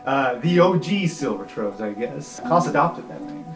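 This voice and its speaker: Tabletop game master, mid-pitched, narrating casually in a roomy space with music underneath. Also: animated, moderate pace. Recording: echoey room with music behind.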